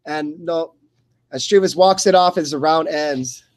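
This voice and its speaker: A man doing live radio play-by-play of a fight in short, halting phrases that often repeat. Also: on the high side, a little hiss or hum. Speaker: play-by-play commentator.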